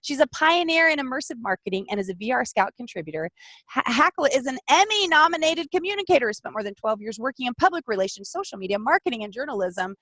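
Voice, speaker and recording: Woman, podcast host, bubbly, gushing, bouncy lively pitch, dry close sound. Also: fast, dry recording. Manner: bubbly, gushing, laughs often. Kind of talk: live-stream interview.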